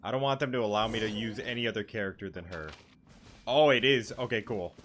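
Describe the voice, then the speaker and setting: A man's voice: fairly low pitch, brisk, fairly bright tone, a little hiss or hum. Casual young man commenting on gameplay. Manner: rambling, off-hand, swears casually. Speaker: gaming streamer. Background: game music.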